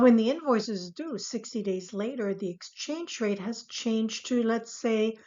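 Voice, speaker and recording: A woman instructor giving a scripted, explanatory lesson in a measured, formal way. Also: mid-range voice. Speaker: accounting instructor. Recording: dull, lo-fi voice recording.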